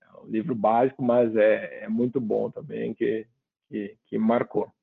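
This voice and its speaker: A man's voice: lowish voice, flat delivery, a little slow, gravelly, husky. A man from southern Brazil, giving reflective interview answers and often ending with tag questions. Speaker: guest. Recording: phone-like narrow line.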